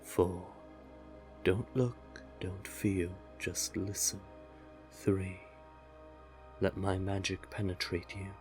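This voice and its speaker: A man's voice: low-pitched, little pitch movement, a little mellow, soft background music. A man voicing a doting, possessive, manipulative male sea witch in an intimate audio roleplay, coaxing the listener tenderly. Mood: hypnotic, coaxing. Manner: coaxing, unhurried, tender with pet names.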